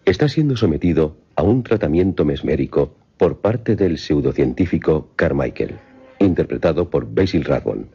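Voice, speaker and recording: A man narrating a scripted film synopsis in a measured, formal, dramatic storytelling manner. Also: very deep voice, expressive, dark tone, breathy edge. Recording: slightly muffled voice-over with music. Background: music.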